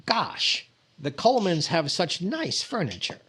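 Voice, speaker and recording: A man's voice: a little high, very animated, unhurried, noisy background. A man playing a vain, naive, fussy comedian in 1940s radio comedy style, exclaiming and stammering. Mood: smug, admiring. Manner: exclaiming, hesitant stammers, comic timing. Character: vain, naive, fussy comedian, easily flustered, boasting of possessions.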